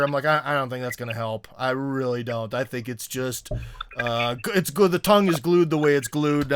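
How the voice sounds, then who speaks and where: A man's voice: medium pitch, fairly bright tone, slight background noise. A man hosting an interview in a casual, chummy way, with plenty of fillers and asides. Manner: laid-back, chummy, with filler-heavy asides. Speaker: show host.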